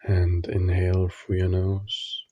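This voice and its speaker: A man leading a guided meditation, giving gentle instructions in short phrases with long pauses. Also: sits low, completely flat delivery, no room sound, muffled recording.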